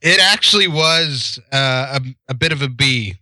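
A man's voice: mid-range voice, lively intonation, unhurried, bright, forceful tone, no echo. A man chatting casually on a live stream, laughing easily and joking.

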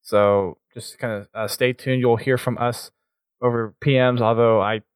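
A man's voice: medium pitch, steady tone, a little slow, no echo. A man hosting a charity live stream, upbeat as he announces giveaway winners and sponsors. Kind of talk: casual stream chat.

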